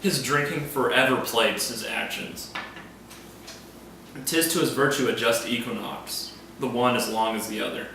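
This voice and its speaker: An actor playing a scheming soldier who feigns honest concern, in declamatory Shakespearean stage style. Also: male voice, mid-range voice, normal speed, creaky, sharp tone, slight background noise. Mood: confiding, sly. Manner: theatrical, declamatory. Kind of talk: classical Shakespearean stage acting. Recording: echoey hall recording, music behind.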